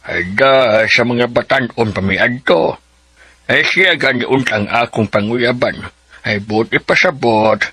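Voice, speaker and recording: Older cebuano papa in a radio play, raspy and sharp, teasing, folksy talk. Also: male voice, mid-range voice, a little slow, no room sound. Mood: teasing, wistful. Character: teasing older father gossiping about his sister-in-law. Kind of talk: radio drama acting.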